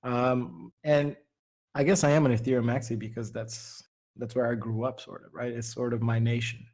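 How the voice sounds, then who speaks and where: A man's voice: medium pitch, little pitch movement, normal speed, darkish tone. A man who grew up speaking Portuguese, chatting casually as he leads a group voice call. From Brazil. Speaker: participant leading an open-stage livestream. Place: remote voice call.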